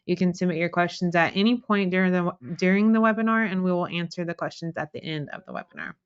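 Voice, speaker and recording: A woman's voice: lowish voice, quick pace, clean tone, muffled recording. Woman reading a formal webinar welcome. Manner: reads a prepared welcome in an even, formal way. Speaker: marketing specialist hosting a webinar. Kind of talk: scripted webinar introduction.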